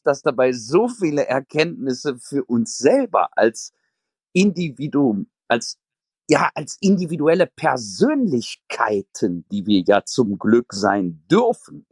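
A man speaking as a podcast guest, talking slowly and thoughtfully in a reflective conversation. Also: medium pitch, sing-song, darkish tone, husky, dry recording, studio quality.

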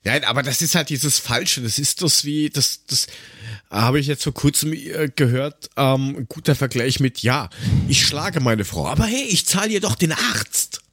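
A man's voice: medium pitch, expressive, moderate pace, a bit bright, husky, no echo, clean studio sound. A man chatting casually as a podcast co-host, joking sarcastically with crude slang. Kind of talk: casual chat, humorous banter.

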